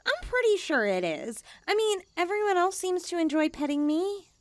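A woman's voice: very high voice, sing-song, moderate pace, no echo. A woman playing a haughty, needy young stray catgirl, blunt and cutesy, in an ASMR comfort roleplay. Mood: puzzled, pouty.

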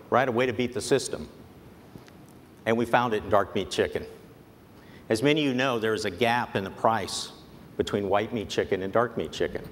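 Earnest executive man on a convention stage. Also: on the low side. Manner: measured, earnest, persuasive delivery. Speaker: company executive giving a keynote. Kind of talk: prepared convention speech. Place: large convention hall stage. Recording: long hall reverb, faint background noise.

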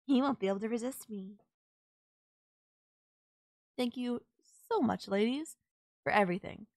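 A woman's voice: a little high, no echo, clean studio sound. A woman playing a sultry, possessive kidnapper who gloats over and coaxes a captive in an intimate audio roleplay. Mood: sweetly pleased. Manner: teasing, coaxing, controlling.

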